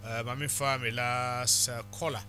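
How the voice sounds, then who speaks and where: A man with a Ghanaian accent hosting a call-in radio show, loud and animated, mixing English and Twi. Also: medium pitch, normal speed. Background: music.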